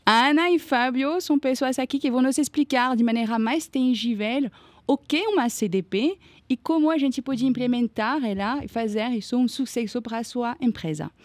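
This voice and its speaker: A woman with a fairly high voice hosts a podcast in relaxed chat, greeting guests and setting up the topic. Her sound is close and dry, with little room around it. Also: dry recording. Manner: welcoming, introduces guests with fillers. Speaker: podcast host. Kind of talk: casual podcast hosting.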